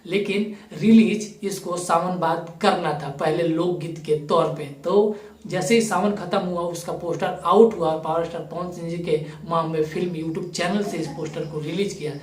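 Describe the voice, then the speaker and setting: A man's voice: a little high, steady tone, moderate pace. A man giving opinionated video commentary to viewers in an emphatic, accusatory manner. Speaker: YouTube commentator. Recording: roomy echo, distant microphone.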